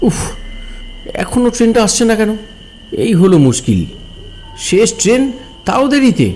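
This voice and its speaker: A man playing a greedy, self-serving government officer in an animated cartoon, expressive and full of exclamations. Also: high voice, moderate pace, a little hiss or hum. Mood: irritated. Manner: expressive, exclaiming, talks to himself. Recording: music bed behind the voice.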